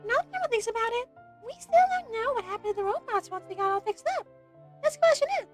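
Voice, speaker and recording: Squeaky, extremely high little fairy. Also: female voice, animated, quick pace, faint music behind. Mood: curious, excitable. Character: tiny, squeaky, excitable fairy companion. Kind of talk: video game voice acting.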